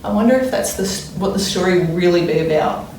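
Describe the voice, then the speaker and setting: A woman teacher reading a storybook aloud to young children, expressively and deliberately, pausing to wonder aloud about it. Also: lowish voice, lively intonation. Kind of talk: expressive children's read-aloud with think-aloud explanations. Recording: echoey room, distant mic, faint noise.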